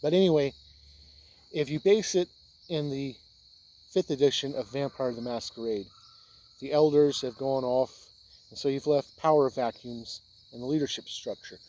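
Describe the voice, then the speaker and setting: An American man from Indiana hosting a video, talking casually and explaining ideas in halting, unhurried phrases with pauses. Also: medium pitch, mellow. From Indiana, United States. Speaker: host of a tabletop role-playing video channel. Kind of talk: casual explainer talk to camera.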